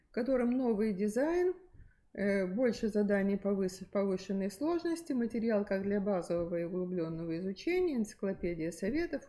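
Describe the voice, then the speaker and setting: Mellow-voiced woman presenting textbooks. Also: medium pitch. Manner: hesitant with many fillers and restarts, explanatory. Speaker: presenter at a teachers' methods meeting.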